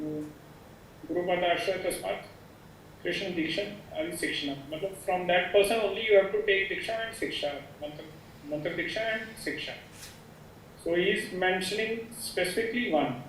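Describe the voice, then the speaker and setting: A man with an Indian accent, a student putting a halting question to his teacher in class, picked up distantly in an echoey room. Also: sits high, a bit bright. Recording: echoey, distant, faintly noisy room microphone.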